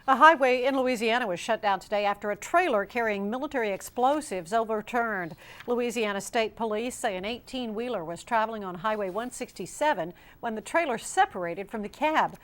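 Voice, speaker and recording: Lady news anchor, kinda high voice, reading a scripted tv newscast. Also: animated, a little fast, fairly bright tone.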